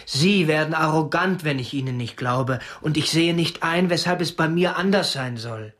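An actor playing an uptight, obsessive young kidnapper in a radio drama, speaking in a stiff, curt, defensive way. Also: male voice, on the high side, moderate pace, fairly bright tone, lightly acted. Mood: hurt, indignant. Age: young adult. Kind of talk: German radio drama dialogue.

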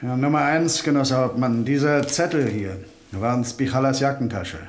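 Brisk junior detective played by a man. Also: medium pitch, lightly acted. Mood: dutiful, matter-of-fact. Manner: matter-of-fact, crisp reporting. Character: brisk, cheeky junior male police detective, dutiful assistant. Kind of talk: German radio crime drama acting.

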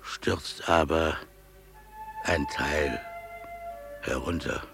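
An actor playing a humble, solemn animal keeper and father in a literary radio drama, with measured delivery. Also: rumbling low, gravelly, a bit bright, soft background music, exaggerated voice. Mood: solemn, reverent.

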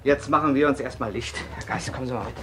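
A man's voice: medium pitch, quick pace, background noise, close to an everyday voice. An actor playing a commanding, businesslike male film director who gives instructions in naturalistic radio drama dialogue. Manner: directing, hesitant asides, giving instructions.

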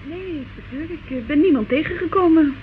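A man's voice: very high voice, brisk. A male actor playing a cheeky, flustered young café delivery worker in an old radio drama, hesitant and defensively offhand. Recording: tinny, hissy old radio recording.

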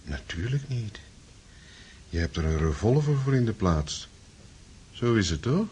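An actor playing a quietly menacing man in a radio drama, measured, patronising and mock-kindly. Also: on the low side, sing-song, a little hiss or hum. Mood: quietly taunting. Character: sinister, falsely soothing man, quietly menacing.

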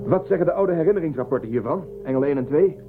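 Spaceship commander, thin narrow-band sound. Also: male voice, a little high, lively intonation, rapid-fire. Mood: commanding. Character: male spaceship commander, calm authority, questioning. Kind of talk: science fiction radio drama acting. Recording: lo-fi phone-like band, music behind.

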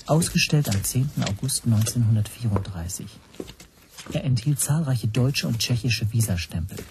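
An actor narrating a radio drama in the first person as an anxious language teacher, hushed and tense. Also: male voice, medium pitch, animated, moderate pace, background noise, lightly acted. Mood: wary. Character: anxious male language teacher recounting his spy troubles.

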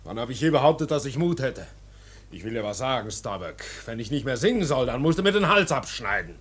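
A man acting a cheeky, fearful, garrulous second mate at sea in a radio play, chatty and excitable. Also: mid-range voice, brisk, husky. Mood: cheeky defiance. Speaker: actor in a radio drama.

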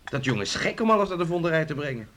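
A man's voice: fairly high pitch, sing-song, very fast, fairly bright tone, a little hiss or hum. An actor playing a cheeky, teasing son in a radio drama, joking at his family's expense. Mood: sardonic.

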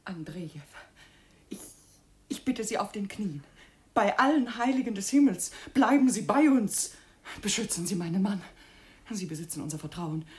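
An actress playing a governor's anxious, desperate wife in a radio drama, imploring with great emotion. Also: female voice, mid-range voice, expressive, normal speed, darkish tone, lightly acted. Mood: desperate pleading. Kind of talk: German radio drama acting.

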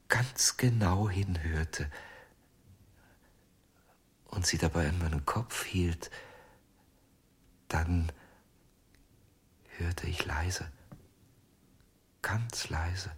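An actor playing a haunted, obsessive ventriloquist in a German radio crime drama, husky and often near a whisper, speaking slowly and haltingly. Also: male voice, sits low, heavily acted. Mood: hushed, entranced. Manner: slow, halting, hushed storytelling. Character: haunted, obsessive male ventriloquist confessing, tender and weary.

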